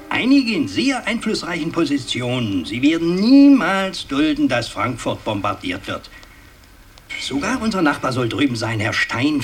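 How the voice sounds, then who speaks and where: An actor playing an imperious, scheming old aristocratic patriarch in a radio drama, declamatory and halting, moving between command and muttering. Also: male voice, mid-range voice, a little hiss or hum. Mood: evasive, blustering. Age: older. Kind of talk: 1960s German radio drama acting.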